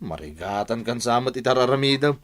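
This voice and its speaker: Actor as a brash, argumentative Ilocano husband, animated delivery. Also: male voice, medium pitch, a little fast, lightly acted. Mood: needling. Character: brash, defensive husband working as office utility messenger. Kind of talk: Philippine radio drama acting. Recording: music under the voice.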